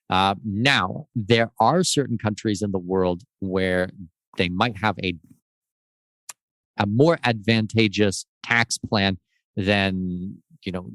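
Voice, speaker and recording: A man speaking as a guest expert on a call, explaining residency and tax step by step in a measured, casual way. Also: deep voice, no echo. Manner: explanatory, measured, gives lists of examples. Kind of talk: casual interview answers.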